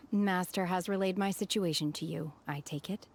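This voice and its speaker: A woman playing a calm, reserved, inexpressive young woman in a video game, speaking in a cool, formal way. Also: fairly low pitch, unhurried, background noise. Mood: composed, matter-of-fact. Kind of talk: scripted video game voice acting. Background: background music.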